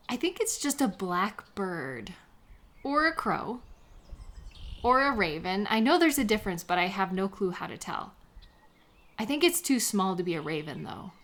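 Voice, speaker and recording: A woman playing a supportive, affectionate best friend in a comfort audio roleplay, speaking gently and sincerely in an intimate, conversational way. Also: medium pitch. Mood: casual, curious. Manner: gentle, sincere, encouraging, unhurried.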